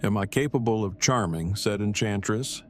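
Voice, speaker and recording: Guy acting a nerdy heartbroken man, super formal n wordy, lowish voice, noisy audio drama recording. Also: normal speed, natural voice. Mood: stiff, matter-of-fact. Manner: stilted, formal, wordy phrasing. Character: verbose, self-deprecating, heartbroken nerdy man, stiffly formal.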